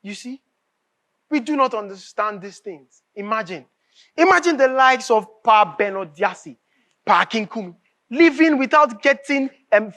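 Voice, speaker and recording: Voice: medium pitch, fairly bright tone, lightly acted. An actor with a Nigerian accent playing an anguished, bitter writer in a live stage drama, declaiming emphatically and repeating phrases. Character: anguished, bitter writer raging at a corrupt nation.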